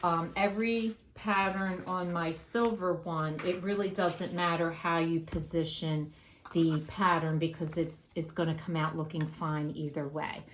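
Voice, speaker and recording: A woman explaining a paper craft step by step in a casual, unhurried way with frequent fillers, her voice going hoarse. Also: fairly low pitch, fairly even intonation, a little hiss or hum. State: losing her voice, coughing. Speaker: craft tutorial host.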